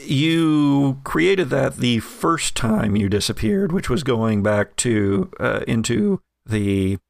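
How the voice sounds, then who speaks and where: A man narrating as game master of a tabletop game in a relaxed, rambling way. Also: mid-range voice, slow, creaky, no echo, clean studio sound. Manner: relaxed, rambling, with many fillers.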